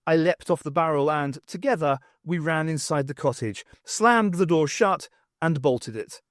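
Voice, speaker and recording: A man narrating scripted fiction for an audiobook in an expressive, theatrical storytelling manner. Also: fairly high pitch, moderate pace, no echo, studio quality.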